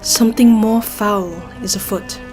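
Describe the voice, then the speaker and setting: A determined Victorian lady investigator, played by an actress in an audio drama. Her speech is formal and earnest, at a medium female pitch, carrying grief and resolve, with faint music behind. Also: fairly even intonation, a little slow, darkish tone, natural voice, poor audio. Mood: insistent.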